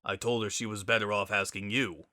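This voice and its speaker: A man voicing a mild, caring, gently inquiring father pony in a storybook reading. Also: lowish voice, flat delivery, brisk, no room sound, clean studio sound. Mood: gentle.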